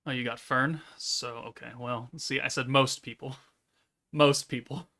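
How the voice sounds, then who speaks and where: Male streamer, 30s, gravelly and deadpan, laid-back monotone chat. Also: medium pitch, a little slow. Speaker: gaming streamer. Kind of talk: casual live-stream chat, reading chat aloud.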